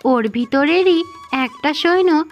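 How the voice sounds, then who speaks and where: Female storyteller for cartoon fairy tales, high voice, scripted delivery, light music bed. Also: lively intonation, slow, highs cut off. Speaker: narrator. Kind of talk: scripted fairy-tale narration. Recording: music behind the voice.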